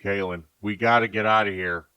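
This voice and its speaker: A man's voice: fairly low pitch, fairly even intonation, brisk, bright, forceful tone, clean tone, dry recording, pitched below the performer's own voice. A man voicing an alarmed male sword-and-shield adventurer in a narrated fantasy story. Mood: urgent warning.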